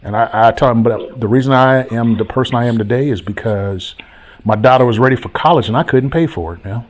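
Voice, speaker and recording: Male coach-host, mid-pitched, animated and preachy, repeats points. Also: brisk. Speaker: host, real estate coach. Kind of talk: casual call-in chat.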